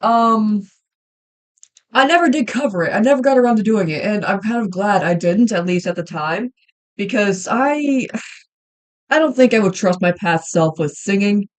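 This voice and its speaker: Young female streamer with a mid-pitched voice, casual and rambling, lots of hesitations. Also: animated, normal speed. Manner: rambling, hesitant, many ums and restarts. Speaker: livestreamer and hobby voice actor. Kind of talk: casual livestream chat while drawing.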